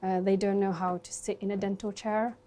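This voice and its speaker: A woman in her twenties, raised in Sweden, who works as a dentist. She speaks softly and reflectively in a documentary interview, with frequent fillers, at her dental practice. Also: mid-range voice, level tone, a little fast. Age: 20s.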